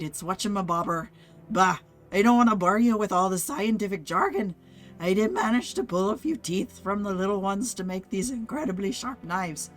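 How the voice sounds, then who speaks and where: A woman voicing an eccentric, rambling dwarf tinkerer with a gruff, blustering manner and folksy slang. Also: mid-range voice, lightly acted. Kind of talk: reading quest text aloud in a put-on voice.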